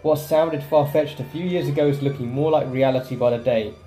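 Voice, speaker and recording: A man's voice: medium pitch, a little fast, dark tone. A man hosting a game playthrough, commenting casually and reading in-game text aloud. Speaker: gaming video host. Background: game music.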